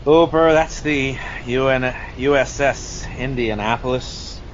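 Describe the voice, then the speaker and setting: Man acting a gruff old sea-dog, muffled noisy recording. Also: mid-range voice, slow pace, natural voice. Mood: grim, haunted. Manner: rambling salty storyteller, addressing listener as Chief. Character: gruff boastful old sea-dog shark hunter, haunted war survivor. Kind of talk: amateur audio drama film reenactment. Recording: dull lo-fi audio with loud background noise.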